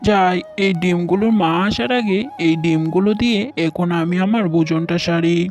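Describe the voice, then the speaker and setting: A hungry, scheming fox thief from a children's cartoon voiced by an actor, talking gleefully to himself at a middling pitch. Greed and cunning shape the delivery, and music plays underneath. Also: moderate pace, darkish tone, close to an everyday voice. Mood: gleeful, greedy. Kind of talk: children's cartoon story acting. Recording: music behind the voice.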